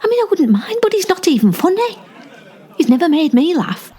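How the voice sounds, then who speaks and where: A woman's voice: very high-pitched, sing-song, fairly quick, dark tone, a faint crowd. A woman playing a jealous, suspicious, agitated gossip in a comedy audio drama.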